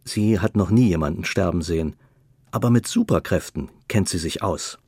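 A man narrating a bittersweet family radio play, understated and wry, with a creaky voice. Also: sits low, normal speed, darkish tone, dry recording, studio quality. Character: male storyteller of a bittersweet family tale.

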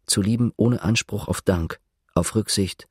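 An actor as the narrator of a literary radio drama, retelling another man's confession with measured, detached irony and a husky voice. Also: lowish voice, a little mellow, dry recording, clean studio sound. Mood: dryly ironic.

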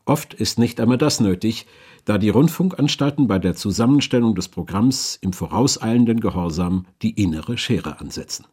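Low, husky male documentary narrator. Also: moderate pace, a little mellow. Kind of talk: scripted historical narration.

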